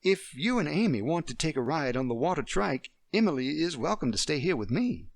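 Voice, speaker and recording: A man's voice: a little high, sing-song, brisk, vocal fry, no room sound, studio quality, pitched above the performer's own voice, heavily acted. A man voicing a kind, light-hearted young woman. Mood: gentle. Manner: gentle, light-hearted, plays along with a child's game. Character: kind, playful young woman, friendly and calm. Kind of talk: storybook reading.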